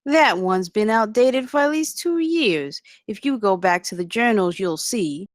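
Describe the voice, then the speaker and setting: A woman at a table read, playing a sharp, candid and skeptical male engineer. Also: mid-range voice, animated, measured pace, no room sound, studio quality, lightly acted. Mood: incredulous.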